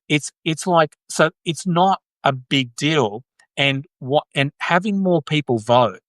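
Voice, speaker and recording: An Australian man hosts a live video chat, answering viewers in a relaxed, rambling way full of "right?" and self-corrections. His voice sits at an ordinary middle pitch and comes across close and dry, with no room sound. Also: no room sound. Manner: chatty, lots of "right?" tags and restarts. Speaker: host.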